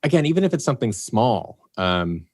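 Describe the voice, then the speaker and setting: A man's voice: mid-range voice, a little fast. A man talking casually in a podcast interview about writing an audio drama, rambling a little and acting out what he and his team said. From Los Angeles area, United States. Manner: rambling, restarts and fillers, animated when quoting himself. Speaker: producer and writer of an audio drama.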